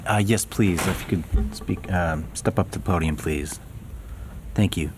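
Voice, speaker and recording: Guy running a meeting, lowish voice, halting, pretty noisy room sound. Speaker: meeting chair. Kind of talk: procedural meeting talk. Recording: noisy room, somewhat distant.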